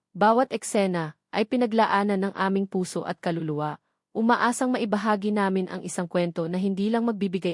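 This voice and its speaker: A woman's voice: medium pitch, darkish tone, no room sound. Filipino woman narrating romance, dry studio sound. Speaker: narrator of online love stories. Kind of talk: scripted dramatic story narration.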